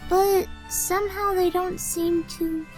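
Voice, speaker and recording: A woman's voice: extremely high pitch, level tone, takes it slowly, a little mellow, soft background music. A woman voicing a weary, frank and polite young country boy in a dramatized storybook, plain-spoken and matter-of-fact. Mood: frank, friendly. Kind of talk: dramatized storybook character acting.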